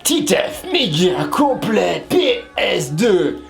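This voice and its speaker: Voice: medium pitch, sing-song, very slow, vocal fry, soft background music. A co-host of a web show explaining things casually, with playful asides to the viewers.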